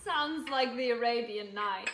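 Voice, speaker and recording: A woman's voice: on the high side, a little slow, a bit bright. A young woman in an amateur school play acting a practical, teasing middle-aged wife and mother. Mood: wry. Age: young adult. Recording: echoey room, faint noise.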